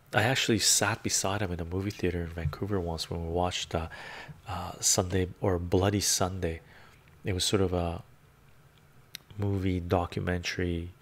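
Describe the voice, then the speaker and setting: Gravelly deep-voiced man on a live stream. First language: Romanian. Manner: rambling, chatty, with frequent "right?" asides. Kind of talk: casual live-stream chat, reading comments. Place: home room full of bookshelves. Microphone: lapel mic.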